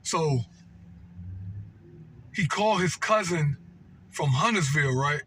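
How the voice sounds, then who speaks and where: A man's voice: fairly high pitch, animated, takes it slowly, a bit bright, slight background noise. An American man telling a personal story casually off the cuff, slangy and repetitive, often checking that the listener follows. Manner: slangy and repetitive, seeking agreement with "right?" and "know what I mean". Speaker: storytelling vlogger.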